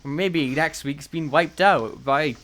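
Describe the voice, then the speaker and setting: A man's voice: a little high, slow pace, a bit bright. A man co-hosting a casual unscripted podcast, rambling and joking with mock confidence. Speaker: podcast co-host.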